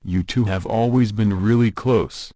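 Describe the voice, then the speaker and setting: A man plays a friendly, coaxing father in a visual novel. His voice is quite deep and the recording is dry, his manner persuasive. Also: unhurried, mellow, no room sound, natural voice. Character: friendly, persuasive engaged father of a teenage son.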